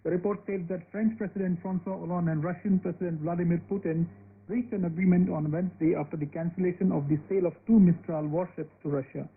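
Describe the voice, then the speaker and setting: A man's voice: high voice, steady tone, fast talker. A man in a radio studio, reading a scripted review of the newspapers. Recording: phone-like shortwave radio, faint noise.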